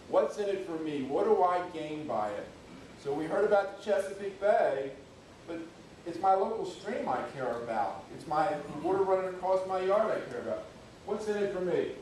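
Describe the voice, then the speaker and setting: A man's voice: a little high, darkish tone, a little hiss or hum. Folksy man lecturing in echoey hall. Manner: folksy, conversational, emphatic on key points. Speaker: public works official giving a talk. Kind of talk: conference presentation to an audience. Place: university lecture room. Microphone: speaking away from the microphone. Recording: very echoey room, voice far from mic.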